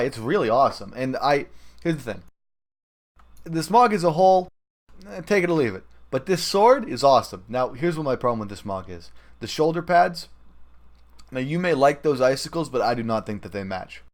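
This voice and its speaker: A man's voice: mid-range voice, big pitch swings, brisk. A man hosting a gaming podcast with casual, conversational talk. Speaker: podcast host.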